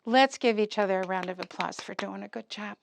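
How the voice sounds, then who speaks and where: A woman's voice: mid-range voice, fairly quick, creaky, background noise. A woman presenting an interactive lesson to young children, giving simple instructions, questions and encouragement. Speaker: puppet show presenter for preschoolers.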